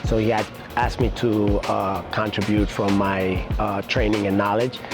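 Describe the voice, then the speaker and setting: A middle-aged man, talking casually and haltingly in an interview as a martial arts instructor, with many pauses. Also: fairly low pitch, little pitch movement, music in the background. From Puerto Rico-born, raised in New York City.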